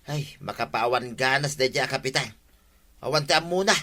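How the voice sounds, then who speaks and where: An actor playing a grumbling villager at the barangay hall in a radio comedy. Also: male voice, mid-range voice, moderate pace, bright, forceful tone, lightly acted. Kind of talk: radio comedy drama acting.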